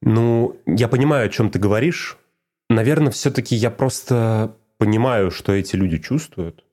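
A man's voice: fairly low pitch, moderate pace, mellow. A man talking casually and reflectively, often repeating phrases for emphasis. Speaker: teacher of speech exercises.

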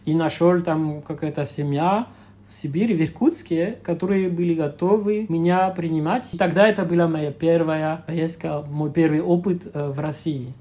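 A young Belgian man whose first language is French, speaking Russian with a French-speaking Belgian accent, pausing often to search for words as an interview guest. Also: a little high, dark tone. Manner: hesitant, searching for words with many fillers. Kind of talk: casual interview talk.

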